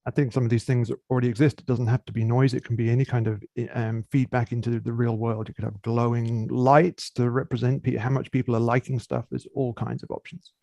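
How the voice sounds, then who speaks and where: A man on a tech panel giving brisk, matter-of-fact advice. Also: medium pitch, little pitch movement, vocal fry, warm tone, dry recording. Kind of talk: casual expert advice. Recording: dull lo-fi audio.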